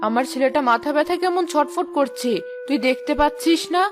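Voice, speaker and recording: A woman's voice: sits high, fairly quick, music in the background, lightly acted. A woman voicing a spiteful, scolding village aunt in a cartoon, with a nagging, scornful manner. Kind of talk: Bengali cartoon voice acting.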